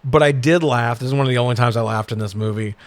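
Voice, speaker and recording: A man's voice: medium pitch, fast, no echo. A man co-hosting a podcast, casually recapping a movie in a profane, sarcastic, exasperated way. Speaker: podcast co-host.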